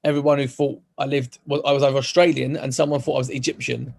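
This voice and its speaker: London bloke in his 30s, gravelly voice, mid pitch, rambly casual chat. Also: fast talker. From London, England. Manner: relaxed, rambling, hesitant restarts. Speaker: podcast co-host.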